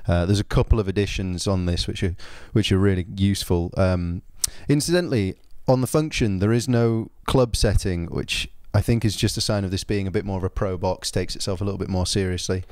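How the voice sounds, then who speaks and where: Low-voiced man reviewing gear. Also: a little fast, few pauses, a little mellow, no room sound, clean studio sound. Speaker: product reviewer. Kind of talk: informal spoken product review.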